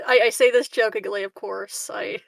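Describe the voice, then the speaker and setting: A woman's voice: medium pitch, steady tone, moderate pace, vocal fry, fairly bright tone, no room sound. A woman playing a tabletop RPG, chatting casually with the group. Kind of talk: casual game table talk.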